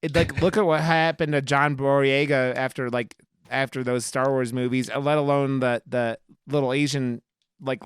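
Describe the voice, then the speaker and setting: A man's voice: medium pitch, clear, resonant tone, no echo, studio quality. A man co-hosting a podcast, chatting casually about film in a rambling, emphatic, sweary way. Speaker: podcast co-host. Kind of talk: casual film review chat.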